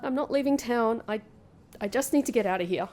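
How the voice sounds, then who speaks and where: A woman playing a sardonic, flustered young woman in a comedy fantasy audio drama, with dry, halting delivery. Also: on the high side, a little mellow, natural voice. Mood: awkward, subdued.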